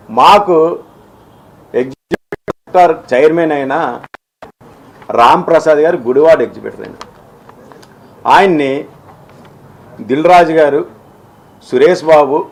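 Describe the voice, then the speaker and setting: Halting, emphatic man. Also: a little high, poor audio. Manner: halting, repetitive, emphatic, argumentative. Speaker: film exhibitor speaking on industry dispute. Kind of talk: spoken statement to the press, unscripted.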